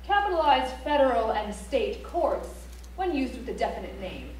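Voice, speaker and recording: Prim female instructor reciting rules, animated intonation; distant auditorium pickup, echo. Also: a little high, normal speed, slight background noise. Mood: prim, instructive. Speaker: stage actor. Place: library auditorium stage, live play. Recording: echoey auditorium from far off, background ambience.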